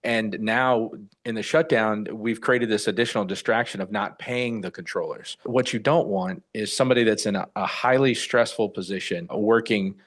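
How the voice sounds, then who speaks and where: A man's voice: fairly low pitch, steady tone, moderate pace, few pauses, a bit bright. An older man, a retired professional, giving unscripted answers in a news interview. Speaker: retired air traffic controller, interviewee.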